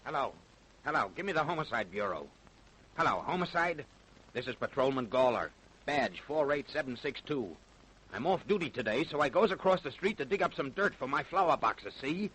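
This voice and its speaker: An actor playing a gruff, working-class New man in an old-time radio crime drama. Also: medium pitch, expressive, normal speed, fairly bright tone, rough recording. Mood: matter-of-fact.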